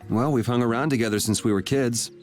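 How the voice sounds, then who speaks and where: A man's voice: medium pitch, fast talker, a little mellow, faint music behind, lightly acted. A man playing a cool, laconic young swordsman in a video game, casual and understated. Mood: nonchalant. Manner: casual, understated, laid-back. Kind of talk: video game cutscene voice acting.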